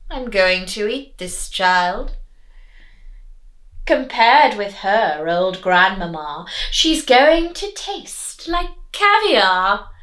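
A woman's voice: mid-range voice, sing-song, very slow, fairly bright tone, echoey room, pitched below the performer's own voice, heavily acted. Woman putting on a greedy, theatrical wolf. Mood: sly, menacing. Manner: theatrical, relishing. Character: greedy, sly, hungry storybook male wolf.

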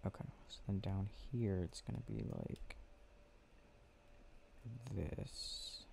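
Slow, creaky, hushed ASMR man. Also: low voice, big pitch swings, a little hiss or hum. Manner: hushed, drowsy murmuring, unhurried pauses. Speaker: ASMR roleplay audio creator. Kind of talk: soft-spoken ASMR boyfriend roleplay. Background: game clicking sounds.

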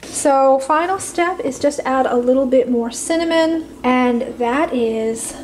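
A woman hosting a budget cooking video, calmly explaining each step from her home kitchen with a few casual fillers. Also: sits high, slow, slight background noise, rough recording. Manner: friendly step-by-step instructions with fillers. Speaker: cooking channel host.

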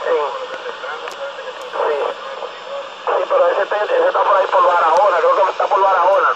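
A man's voice: high-pitched, little pitch movement, normal speed. A man with a Dominican accent chatting casually and familiarly as a ham radio operator over a two-way radio. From Dominican Republic. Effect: two-way radio transmission. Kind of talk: casual ham radio exchange with call signs. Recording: muffled radio signal, loud noise.

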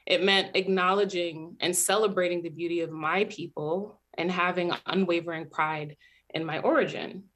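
A young American woman from New Jersey giving a measured, reflective personal talk as a PhD student, recorded over a webcam. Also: fairly low pitch, fairly even intonation, a bit bright. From New Jersey, USA. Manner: earnest, measured, reflective storytelling. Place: indoor room at home.

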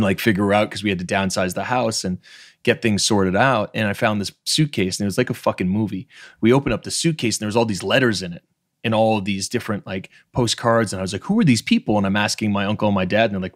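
A man in his thirties hosts a podcast from a room at home, speaking into a studio mic. His voice is low and sits dry and close, and he talks frankly and with emphasis, swearing freely. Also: no room sound. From Canada's Maritimes. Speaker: podcast host. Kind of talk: casual interview chat. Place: home room with bookshelves, video call. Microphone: studio microphone on stand.

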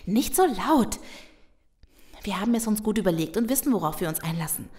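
An actress playing a careful, caring survivor in an audio drama, reasoning and reassuring. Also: female voice, mid-range voice, animated, fairly quick, a little mellow, a little hiss or hum, natural voice. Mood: hushed, firm. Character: careful, caring female survivor tending wounded. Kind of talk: German audio drama acting.

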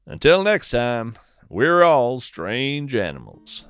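A man's voice: mid-range voice, very animated, takes it slowly, clear, resonant tone, dry recording, studio quality. A man hosting and narrating a scripted audio-fiction podcast in a wry, dryly understated way.

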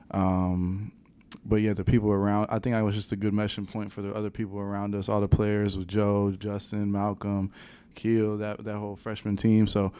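A young man, a college basketball player, answering questions at a post-game press conference in a halting, soft-spoken way with many fillers, into a table microphone. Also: fairly low pitch, clear, resonant tone. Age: young adult. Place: press conference room with sponsor backdrop.

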